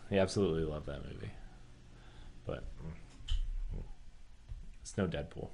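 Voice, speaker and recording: A man's voice: extremely low pitch, measured pace, vocal fry, dark tone, slight background noise. A man co-hosting a podcast, chatting casually and with slangy opinions about entertainment news. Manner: slangy, opinionated, says "dude". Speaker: podcast co-host. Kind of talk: casual chat about movie and game news.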